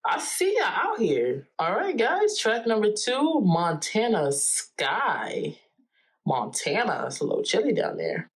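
A woman's voice: medium pitch, slow, husky. A young woman from New Jersey who hosts music reaction videos. She chats casually and with animation from a small bedroom, recorded on a close camera. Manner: animated, chatty, hums and sings along.

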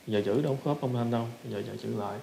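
A man with a Southern Vietnamese accent giving a casual software tutorial, often repeating short phrases as he demonstrates. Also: medium pitch, rapid-fire, vocal fry. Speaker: tutorial instructor. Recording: roomy echo with background noise.

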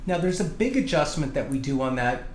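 A fairly high-voiced man in his fifties talks casually and bluntly to patients as he works. He sounds like a joking practitioner. The camera mic is at a distance, with some room echo and faint noise. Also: animated, fairly quick. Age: 50s. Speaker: chiropractor, host. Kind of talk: casual chat with patients while working. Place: small treatment room indoors. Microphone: handheld camera a few feet away.

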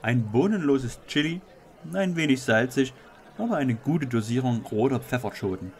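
Man acting fussy chili connoisseur, wildly swooping pitch. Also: expressive, normal speed, darkish tone, close to an everyday voice. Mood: appraising. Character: fussy, appreciative male chili connoisseur judges.

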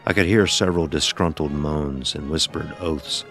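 A man playing a blind son who narrates his dark ordeal in a solemn, literary way, as horror drama. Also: very deep voice, normal speed. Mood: somber. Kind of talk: scripted first-person horror narration. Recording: voice over background music.

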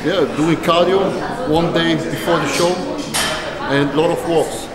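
A man, giving short, halting answers in broken English in a busy indoor gym. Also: on the high side, fairly even intonation, a loud crowd. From Poland. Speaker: bodybuilder guest. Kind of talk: casual gym chat. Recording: crowded gym, long reverb.

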